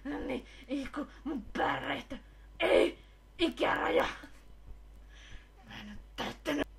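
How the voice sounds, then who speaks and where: A woman voicing an excitable, whiny young boy who gushes dramatically in an amateur comedy cartoon dub. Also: sits high, vocal fry. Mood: secretive, gushing. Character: excitable young boy, metal fan who secretly loves cute things.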